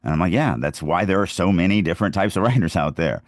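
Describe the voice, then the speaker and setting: A man's voice: low-pitched, quick pace, no echo, studio quality. A man hosting a podcast, giving writing advice in an informal, opinionated, conversational way with anecdotes. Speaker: podcast host, fantasy author and writing teacher.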